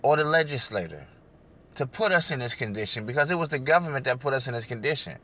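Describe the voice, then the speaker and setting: A man's voice: mid-range voice, expressive, fast talker, sharp tone, highs cut off. A man giving an emphatic political talk to his online audience, repeating his points and calling listeners "guys". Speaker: political speaker on a broadcast.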